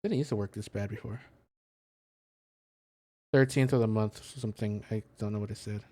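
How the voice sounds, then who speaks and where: رجل يعلّق على لعبة بعفوية، بتسجيل جاف. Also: male voice, on the low side, fairly even intonation, normal speed, dark tone, no room sound. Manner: rambling, informal, searches aloud for words. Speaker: video game streamer and host. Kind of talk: casual livestream commentary, thinking aloud and reading posts out.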